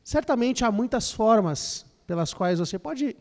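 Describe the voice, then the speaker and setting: A man's voice: sits high, lively intonation, unhurried, a little mellow. Hesitant male interpreter in a hall. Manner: hesitant starts with fillers and repeated words. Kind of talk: consecutive interpreting of a lecture. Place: lecture hall. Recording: long hall reverb.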